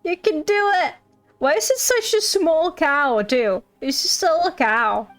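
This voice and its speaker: A woman with a high voice gives casual live game commentary. She is playful and reactive, with game music playing faintly behind her. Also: lively intonation, a little slow, a bit bright. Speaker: game streamer.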